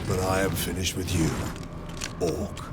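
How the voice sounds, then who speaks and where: A man playing a stern, resolute space marine captain in a video game, speaking in a formal, commanding, measured way. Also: low voice, little pitch movement, very noisy. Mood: grim resolve. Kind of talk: video game drama acting. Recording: loud game music behind.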